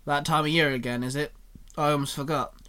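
A man's voice: a little high, fairly even intonation, brisk, sharp tone, smooth. A man voicing a grumpy, sarcastic male tinkerer who grumbles through his teeth. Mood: grumbling.

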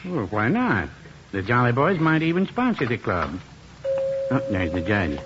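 A man's voice: medium pitch, very animated, a little slow, fairly bright tone. An actor in a 1950s radio comedy playing a mild, fussy older man with a droll, quibbling way of talking. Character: dry, mild, fussy older male small-town friend. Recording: muffled 1950s radio recording with hiss.

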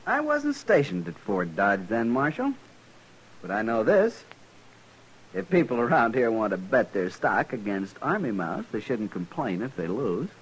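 Haughty, animated young cavalry lieutenant. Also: male voice, medium pitch, unhurried, rough recording. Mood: haughty, defensive. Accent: American. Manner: formal, clipped, haughty. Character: stiff, arrogant, hawkish young cavalry lieutenant. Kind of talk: 1950s radio western acting. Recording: hissy old radio broadcast.

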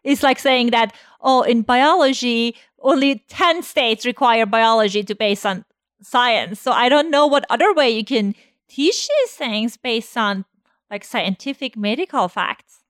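A woman's voice: on the high side, lively intonation, a bit bright, dry recording, studio quality. A woman from Iran who works as a therapist, speaking thoughtfully in a conversational chat. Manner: thoughtful, measured, with occasional uh fillers.

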